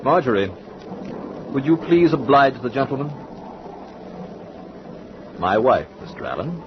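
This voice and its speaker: An actor playing a secretive, unsettling husband who speaks with cool, smooth formality in a 1940s radio drama. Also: male voice, medium pitch, sing-song, a little slow. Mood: coolly menacing. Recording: dull noisy old radio recording.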